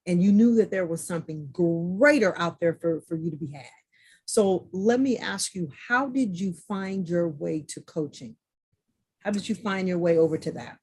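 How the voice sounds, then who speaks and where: A woman's voice: fairly low pitch, normal speed, a little mellow, breathy edge. A woman hosting a podcast interview, effusive and enthusiastic, often repeating her phrases. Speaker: podcast host.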